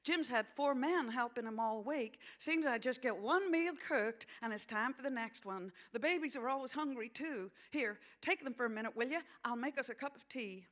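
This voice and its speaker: A woman's voice: fairly high pitch, normal speed, hardly any pauses, fairly bright tone, pitched above the performer's own voice, natural voice. A woman voicing a tired, anxious teenage farm wife and mother in a dramatised novel reading, sounding apologetic. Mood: flustered apologetic.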